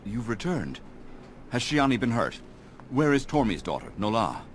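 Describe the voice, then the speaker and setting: An actor playing a grave, calm old elven elder in a fantasy video game, measured and weary, with a gravelly voice. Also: male voice, medium pitch, background noise, lightly acted. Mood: grave concern.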